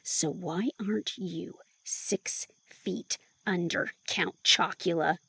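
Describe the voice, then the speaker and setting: A woman's voice: on the low side, takes it slowly, vocal fry, a bit bright, breathy edge, no room sound, heavily acted, poor audio. An actress playing a skeptical young woman in an audio drama, dry, sarcastic and probing. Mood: teasing. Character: sharp-tongued young woman, skeptical, questioning.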